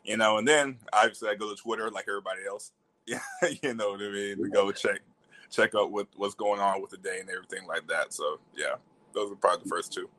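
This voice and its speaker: Young male interview guest from Alabama, fairly low voice, informal and chatty. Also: fairly even intonation, fast, pushed and bright. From Alabama, United States. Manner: informal, peppers talk with "you know". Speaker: interview guest, media professional. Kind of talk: casual chat.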